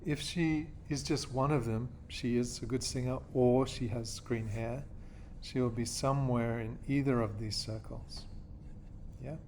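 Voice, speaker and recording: A man from Australia teaches a lesson in a patient, step-by-step way. His voice sits at a middle pitch and he keeps checking that his students follow. A faint background noise runs under the recording. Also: fairly even intonation, measured pace, darkish tone. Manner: patient, checks in with "okay?" and "yeah?". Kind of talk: classroom lesson explanation.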